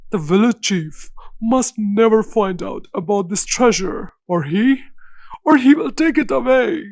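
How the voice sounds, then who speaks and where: A woman voicing a crafty, anxious male farmer in an animated fairy tale, with a deliberate, dramatic delivery. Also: mid-range voice, no room sound. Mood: worried, secretive.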